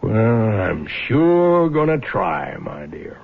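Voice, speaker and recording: A resigned, wry father of mature years in a vintage radio drama. His voice is creaky and rough, with wide swings in pitch, on a dull old broadcast recording. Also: big pitch swings, slow, loud music behind the voice, full character voice. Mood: confiding, rationalizing. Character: wry older father, honest man rationalizing a windfall.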